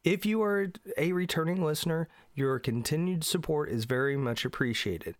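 A man's voice: a little high, animated, moderate pace, dry recording, clean studio sound. A middle-aged man from Indiana, congested from allergies, hosting a solo podcast in an earnest, emphatic way. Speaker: podcast host.

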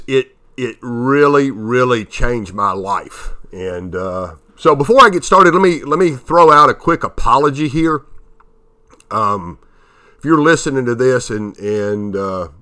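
Bright-voiced man hosting a podcast. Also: medium pitch. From Tennessee. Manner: halting, with many fillers and restarts. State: nasal from allergies. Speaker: podcast host. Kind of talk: motivational podcast monologue.

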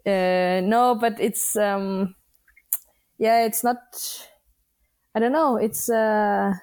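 A woman's voice: medium pitch, steady tone, drawn-out words, a little mellow, clear, resonant tone, no echo. Young greenland woman, danish is her first language, lots of ums and restarts, dry sound no room. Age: young adult. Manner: hesitant, many fillers and restarts. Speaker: guest athlete. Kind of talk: casual interview.

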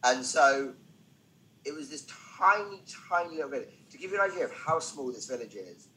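A man's voice: on the high side, expressive, brisk, sharp tone, a little hiss or hum, low-quality recording. A man speaking as a podcast guest, a stand-up comedian telling anecdotes conversationally with self-deprecating, wry asides.

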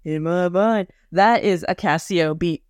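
A woman's voice: fairly low pitch, unhurried, darkish tone, clean tone, no room sound, clean studio sound. A woman co-hosting a podcast, telling chatty anecdotes in a casual way. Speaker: podcast co-host. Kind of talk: casual chat.

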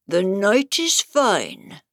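A woman voicing a pompous, oily old male walrus in a storybook verse, with a drawn-out, mock-genteel delivery. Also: mid-range voice, wildly swooping pitch, a bit bright, dry recording, studio quality, full character voice. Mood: falsely genteel. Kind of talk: storybook verse character reading.

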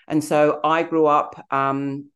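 A woman's voice: low-pitched, level tone, slow. A woman in her forties with an English accent tinged with Australian, speaking earnestly and thoughtfully as an interview guest. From England. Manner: thoughtful, earnest, with soft fillers. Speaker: guest, executive coach. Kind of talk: reflective interview talk.

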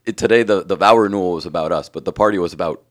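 A hoarse man with a raspy voice, co-hosting a podcast in earnest, reflective casual chat. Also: low voice, racing. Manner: earnest, reflective, restarts often. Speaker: podcast co-host.

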